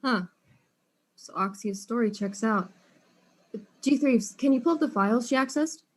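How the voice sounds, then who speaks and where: An actress playing a wry, weary detective in an audio drama, speaking casually. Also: female voice, fairly high pitch, moderate pace, close to an everyday voice. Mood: exasperated.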